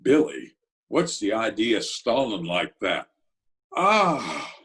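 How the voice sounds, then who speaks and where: A gruff Broadway big shot with a soft heart, played by a man for a radio play. He speaks very low and husky, in clipped slang at an unhurried pace. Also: huge pitch swings, heavily acted. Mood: impressed. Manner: gruff, laconic street slang. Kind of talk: 1940s radio drama acting.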